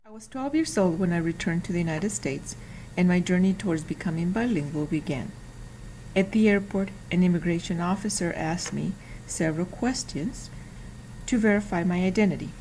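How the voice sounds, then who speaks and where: A woman's voice: on the low side, mellow, a little hiss or hum, poor audio. A woman, carefully reading a prepared class presentation. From Mexico. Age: 50s. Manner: careful, deliberate reading with some restarts. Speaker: student.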